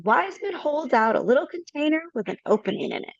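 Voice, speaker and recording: A woman reads stage directions briskly, her voice moving up and down. The audio is dry and a little muffled, from a home mic on a video call. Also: high voice, sing-song, gravelly, no room sound. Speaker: narrator.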